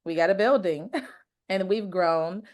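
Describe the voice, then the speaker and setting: A woman from Michigan speaks as a podcast guest with a fairly low voice. She tells her story in a friendly, easygoing way. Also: unhurried, darkish tone. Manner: friendly, laughs lightly while telling her story. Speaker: interview guest, child care owner. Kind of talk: casual podcast interview.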